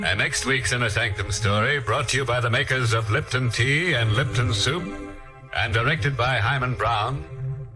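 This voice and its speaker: A man hosting a 1940s radio mystery with wry, theatrical, mock-sympathetic dark humor. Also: mid-range voice. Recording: old radio recording with organ music.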